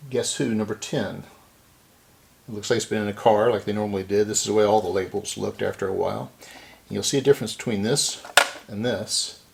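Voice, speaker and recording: Low, gravelly man thinking aloud. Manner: unscripted, thinking aloud while working. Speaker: repair channel host. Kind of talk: casual hands-on repair walkthrough.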